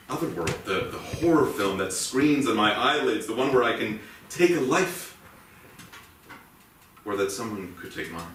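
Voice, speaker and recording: An actor playing a haunted, guilt-ridden policeman and family man in a brooding, halting, intense stage monologue. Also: mid-range voice, fairly bright tone, close to an everyday voice. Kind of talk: dramatic theatrical monologue. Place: indoor theatre stage. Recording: echoey theatre, distant mic, faint noise.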